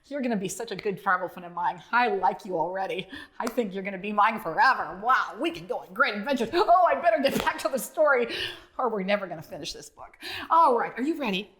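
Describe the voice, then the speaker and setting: A woman telling a story to young children as its author, expressive and encouraging, often asking questions. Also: mid-range voice, fast talker, slight background noise.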